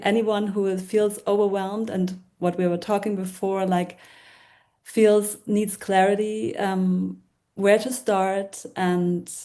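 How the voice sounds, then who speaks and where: Hesitant German-accented woman, mellow voice. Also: mid-range voice. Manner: hesitant, with many restarts and fillers. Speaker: workshop guest, decluttering coach.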